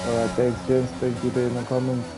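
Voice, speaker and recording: A man giving live commentary on a sim racing stream, chatty and excitable, talking to viewers in the chat from a home mic. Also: medium pitch, fairly quick, over music, rough recording. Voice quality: says he has a loud voice.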